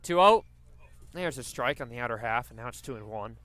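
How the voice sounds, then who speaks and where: A man's voice: mid-range voice, lively intonation, a little fast, fairly bright tone, rough recording. A man doing live radio play-by-play commentary of a baseball game.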